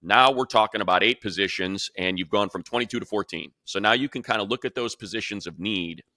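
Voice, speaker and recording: A man's voice: on the low side, brisk, bright, forceful tone, dry recording. A man hosting a sports show, giving analysis in an emphatic, conversational way as he thinks aloud.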